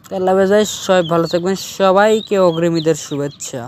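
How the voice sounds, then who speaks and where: A young man hosts a cartoon channel. His voice is high and he talks quickly straight to viewers, with faint noise behind him. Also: a little mellow. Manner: addresses viewers directly. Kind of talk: scripted intro and outro.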